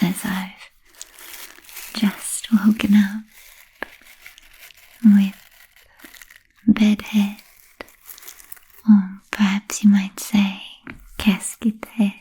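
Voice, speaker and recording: Woman as an ancient vampire, breathy slow whisper. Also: mid-range voice, level tone, mellow, heavily acted, poor audio. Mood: teasing, musing. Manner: slow, deliberate, archly formal with trailing pauses. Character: ancient refined female vampire, playfully macabre and unhurried. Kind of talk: whispered spooky roleplay ASMR.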